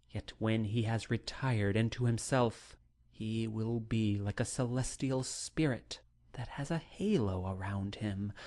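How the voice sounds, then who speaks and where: Very slow, solemn male audiobook narrator. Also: fairly low pitch, a little mellow. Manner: solemn, deliberate, literary delivery. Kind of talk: scripted dramatic narration of a classic novel.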